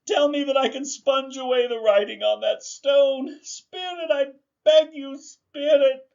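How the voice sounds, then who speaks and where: A man acting a frightened old miser pleading with a ghost, tremulous, halting and melodramatic, in a theatrical radio drama. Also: extremely high pitch, steady tone, gravelly, a little mellow, muffled recording, exaggerated voice. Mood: desperate pleading.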